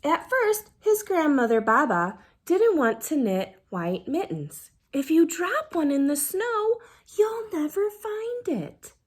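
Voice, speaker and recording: A woman reading a children's storybook aloud like a teacher at storytime, expressive and engaging young listeners. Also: high-pitched, slow pace, hardly any pauses. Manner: expressive, drops to hushed excited asides with audible gasps.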